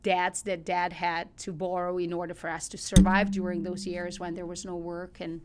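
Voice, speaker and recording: Ukrainian-accented woman, slightly low voice. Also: little pitch movement, moderate pace, slight background noise. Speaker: podcast guest. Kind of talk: conversational personal storytelling.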